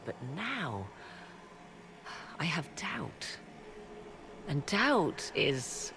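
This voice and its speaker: A woman voicing an aloof, proud witch who speaks in a cool, measured, dryly ironic way. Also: sits low, background noise, heavily acted.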